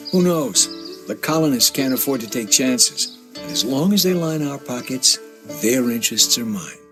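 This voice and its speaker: A bold colonial commander played by a man in a video game drama. His mid-range voice moves animatedly as he speaks with decisive authority. Music plays clearly behind him. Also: medium pitch, animated, normal speed, lightly acted. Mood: confident. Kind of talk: dramatic game cutscene acting.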